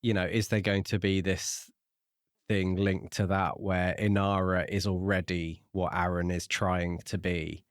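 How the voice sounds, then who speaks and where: A man with a low-pitched voice speaks as a podcast co-host. His talk is casual but measured and thoughtful. The recording is dry, without room reflections. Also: level tone, no echo. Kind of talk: casual book discussion.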